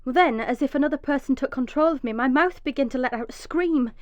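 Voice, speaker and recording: An actress playing a frightened, injured young mother in a radio drama, emotional and swinging from hushed dread to pleading. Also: high-pitched, fast, no room sound, natural voice. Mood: haunted, dazed. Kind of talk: radio drama monologue with acted dialogue.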